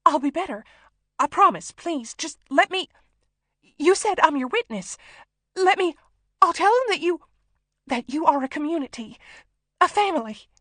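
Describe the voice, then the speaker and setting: A woman narrator playing a frightened female doctor held hostage in an audiobook, pleading desperately in halting, breathless bursts. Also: very high-pitched, expressive, fairly bright tone, dry recording, pitched above the performer's own voice. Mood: frantic pleading. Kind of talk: audiobook character acting.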